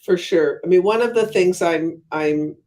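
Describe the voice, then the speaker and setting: A woman's voice: fairly low pitch, a little slow, mellow. Woman, expert interview guest. Conversational explainer, thoughtful stop-and-start delivery, emphatic on key terms. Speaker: guest academic, economist and author.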